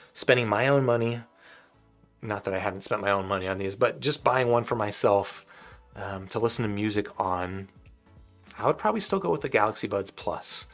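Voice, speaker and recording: A man's voice: fairly low pitch, a bit bright. A man hosting a live stream, answering viewer questions about audio gear in a casual, rambling way, his voice getting dry and tired. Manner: rambling and conversational, with frequent restarts.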